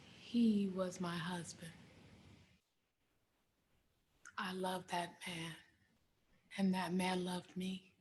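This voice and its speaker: A woman playing an anguished, fiercely loving enslaved mother in a dramatic stage monologue, speaking emphatically with heavy pauses. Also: medium pitch, darkish tone, lightly acted. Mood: anguished, remembering. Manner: slow, emphatic, repetitive delivery with dramatic pauses.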